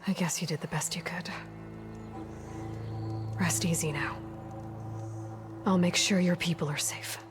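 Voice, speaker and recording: A woman voices a capable young hunter, steady and compassionate. Her voice is lowish and even, with game music under the scene. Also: a little slow, long pauses, close to an everyday voice. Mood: gentle. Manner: calm, steady. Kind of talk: video game cutscene acting.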